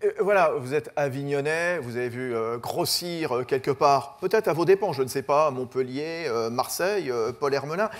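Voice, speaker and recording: A man's voice: medium pitch, animated, normal speed, few pauses. A male host moderating a debate, asking probing questions with many fillers.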